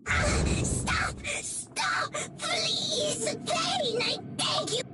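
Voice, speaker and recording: A woman's voice: very high voice, lively intonation, very slow, heavy background noise, heavily acted. A woman voicing a captured female conspirator in a video game cutscene, stammering and pleading under torture. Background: game soundtrack music.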